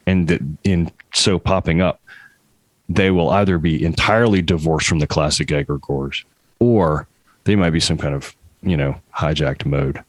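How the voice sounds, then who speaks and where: A young male podcast host with a very low voice, recorded dry and close. He lays out long, careful questions step by step before asking them. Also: no room sound. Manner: deliberate, setting up long questions. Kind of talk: interview questions.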